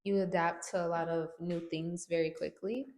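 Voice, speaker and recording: A woman's voice: on the low side, steady tone, moderate pace, darkish tone, reverberant. A woman hosting a podcast interview, encouraging her guest with short replies. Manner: encouraging, frequent short backchannels and laughs. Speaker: podcast host. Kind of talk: casual interview chat.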